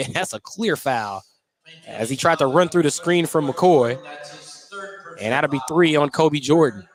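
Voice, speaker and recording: A man's voice: fairly high pitch, a little slow. A man calling a live basketball game as the radio play-by-play announcer, describing the action in clipped running phrases.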